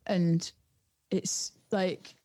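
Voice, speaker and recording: A woman's voice: fairly low pitch, level tone, extremely slow, dark tone, no echo. A young woman, chatting casually and colloquially as an interview guest. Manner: informal, colloquial. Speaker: music producer and DJ guest. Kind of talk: casual chat.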